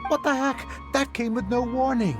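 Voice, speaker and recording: A worried young theater colleague in a game. The voice is high for a man, flustered and casual, with music underneath. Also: moderate pace. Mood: alarmed. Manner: flustered, informal. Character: excitable, anxious young male theater colleague. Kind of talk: video game voice acting.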